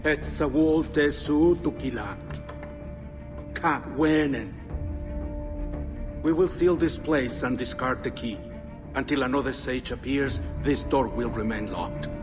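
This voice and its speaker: Actor as a solemn guardian, music behind. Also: male voice, medium pitch, slow pace, pauses often, close to an everyday voice. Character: solemn, wise guardian of an ancient order.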